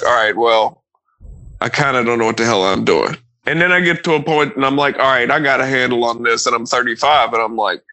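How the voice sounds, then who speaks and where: A man's voice: medium pitch, pushed and bright, rough recording. A man in his thirties hosting a podcast, talking casually and emphatically with frequent profanity. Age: 30s. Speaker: podcast host. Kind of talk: casual conversation.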